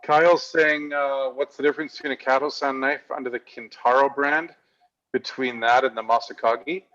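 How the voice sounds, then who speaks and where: A man's voice: medium pitch, steady tone, normal speed, fairly bright tone, lo-fi sound. A man co-hosting a casual live-stream chat, reading out viewer questions in a joking, chatty way. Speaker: co-host of a knife shop Q&A stream.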